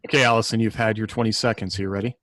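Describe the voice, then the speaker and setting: A man's voice: fairly low pitch, fairly even intonation, rapid-fire, fairly bright tone, dry recording. A man hosting a casual podcast chat among fellow writers.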